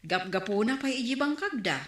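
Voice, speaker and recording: A woman's voice: on the high side, expressive, brisk, a bit bright, echoey room. A woman acting a talkative neighborhood store owner who gossips about neighbors, in a radio drama. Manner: chatty, gossiping.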